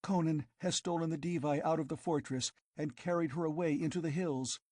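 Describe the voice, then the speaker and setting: A man's voice: high voice, lively intonation, measured pace, darkish tone, dry recording, studio quality, pitched above the performer's own voice. A man reading an audiobook, voicing a fierce, passionate young woman who pleads urgently and breathlessly. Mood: passionate urging. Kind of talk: audiobook character voice.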